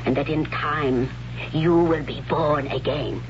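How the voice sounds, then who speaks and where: A stern, solemn priestess of a tribe of warrior women, speaking in a hushed, whispery way, in a mid-range voice. The sound is a dull old radio broadcast with steady hiss behind it. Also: male voice, a little high, takes it slowly. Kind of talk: 1970s radio drama acting.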